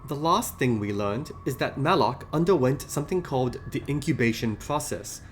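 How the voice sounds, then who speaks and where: A man hosting a scripted video essay about game lore, explaining in a measured way. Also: mid-range voice, expressive, slight background noise. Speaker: video host.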